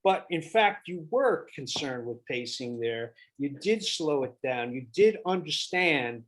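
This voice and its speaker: Man, fairly high pitch, casual director's notes, halting, repetitive, thinking out loud. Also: animated, a little hiss or hum. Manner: halting, thinking aloud, repeating himself. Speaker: director giving notes to actors. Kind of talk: casual spoken feedback.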